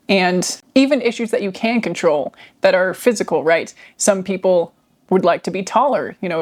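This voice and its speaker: A woman with a deep voice telling a reflective personal story to camera, seated at home by a nearby microphone, laughing softly between phrases. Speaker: vlogger. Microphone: microphone near her.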